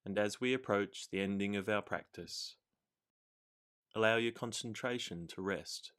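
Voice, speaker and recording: Low-pitched man guiding a meditation. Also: clear, resonant tone. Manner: calm, soothing, measured, with gentle instructions. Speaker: meditation guide. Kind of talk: guided meditation narration.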